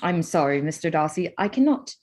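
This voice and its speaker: An actress playing a witty, proud young gentlewoman with an arch, spirited manner in a period staged reading. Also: low voice, lively intonation, a little fast, a little hiss or hum. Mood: cool, arch.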